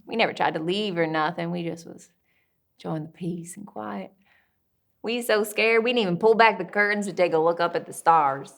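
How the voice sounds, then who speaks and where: A woman's voice: lowish voice, moderate pace, gravelly. A young woman playing an abused daughter, recounting life with her violent father in a folksy, plain-spoken dramatic monologue. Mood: subdued, wary. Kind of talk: dramatic stage-style monologue.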